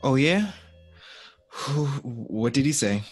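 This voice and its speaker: Keen but sensible artist buddy in a radio play, breathy husky voice, lots of pitch swing. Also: male voice, expressive, slow. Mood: pleased, wry. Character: enthusiastic but practical male creative partner. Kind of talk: audio drama acting.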